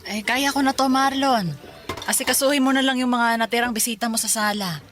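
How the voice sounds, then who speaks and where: An actress playing a loving, selfless middle-aged mother in a Filipino radio drama, reassuring and unhurried. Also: on the high side, steady tone, fairly bright tone, close to an everyday voice. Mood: gently insistent. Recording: music bed under the voice.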